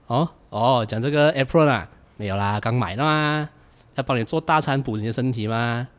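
A man acts out a caring boyfriend from a radio drama, gently nagging. He has a Malaysian Mandarin accent and an everyday mid-pitched voice. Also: moderate pace, lightly acted. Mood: fond nagging.

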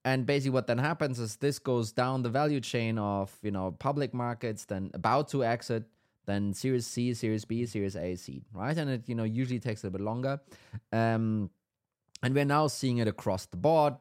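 A man's voice: medium pitch, a little fast, a little mellow. A man hosting a casual business podcast, explaining things at length with many ums and restarts; his first language is Danish. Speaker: podcast host.

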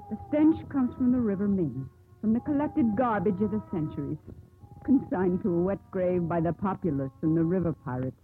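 An actress playing a steely young woman sea captain in 1950s radio drama style, crisp, formal and authoritative. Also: medium pitch, lightly acted. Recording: tinny, noisy 1950s radio broadcast.

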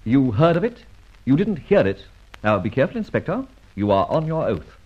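An actor playing a breezy, teasing gentleman adventurer in a mid-century radio drama, with flippant, theatrical banter and old-fashioned British slang. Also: medium pitch, big pitch swings, moderate pace, husky. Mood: brisk, bantering. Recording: dull, muffled old radio recording.

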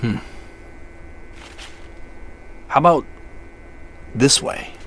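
A man's voice: mid-range voice, measured pace, a bit bright, slight background noise, lightly acted. An actor playing an earnest, puzzled man in an audio drama, hesitant and thinking aloud in natural dialogue. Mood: puzzled, frustrated. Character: earnest, puzzled man searching woods at night for treasure.

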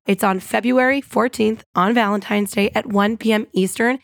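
A woman hosting her own podcast in an upbeat, chatty monologue, her voice a little scratchy and hoarse. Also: medium pitch, steady tone, normal speed, dry recording, studio quality. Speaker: podcast host.

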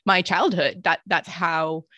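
Woman in her thirties, guest on an interview podcast; earnest, reflective storyteller with a lowish voice, dry close mic. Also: steady tone, slow, no echo. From born in Greece, raised in the United States and Romania. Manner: earnest, warm storytelling with frequent fillers and soft laughs.

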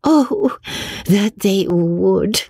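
An ardent, idealistic young woman from a classic novel, as a woman reader puts her on. She speaks in emphatic, decided phrases with animated, swooping pitch and a slightly husky edge. The sound is close and dry. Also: moderate pace, no room sound. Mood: eager. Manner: emphatic, exclamatory.

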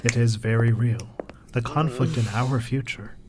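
A man acting a grave, gentle, bookish resistance member in an audio drama, with measured, formal phrasing. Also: medium pitch, steady tone, slight background noise, natural voice. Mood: sober.

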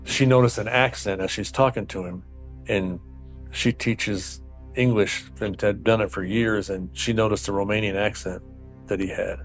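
Low-voiced man recounting a case plainly. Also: steady tone, faint music behind. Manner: measured, matter-of-fact recounting. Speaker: investigator. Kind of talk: interview clip.

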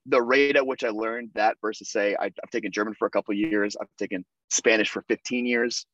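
Fast-talking young man, dull dry sound. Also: on the low side, steady tone, a bit bright, dry recording. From United States. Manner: chatty, lots of fillers and restarts. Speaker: podcast guest. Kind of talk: casual interview conversation.

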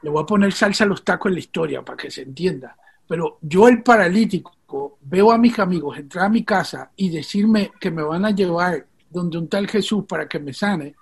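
A man's voice: high voice, normal speed, gravelly, breathy edge. A man, born in Venezuela and raised in Rico, hosting the conversation and telling a story in an earnest, heartfelt way. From Venezuela, raised in Puerto Rico. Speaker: host.

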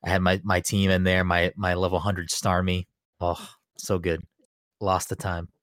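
A man chatting on a podcast, rambling eagerly with lots of fillers and quick laughs. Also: low voice, flat delivery, a bit bright, dry recording, studio quality. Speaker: podcast co-host. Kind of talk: casual chat.

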